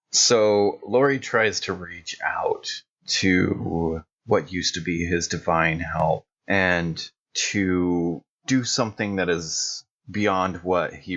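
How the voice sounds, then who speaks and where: A man playing a tabletop game, describing his character's actions in a measured, earnest way. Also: sits low, a bit bright, slightly rough, highs cut off.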